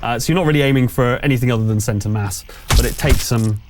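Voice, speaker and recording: A man running a tabletop game as game master, narrating and refereeing conversationally, pausing as he thinks aloud. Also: medium pitch, faint music behind.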